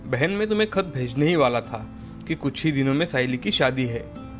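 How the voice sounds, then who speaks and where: A man's voice: medium pitch, lively intonation, moderate pace, a bit bright, close to an everyday voice. A man as humble poor village father, faint music. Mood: humble, grateful. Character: poor, worried, grateful village father and brother.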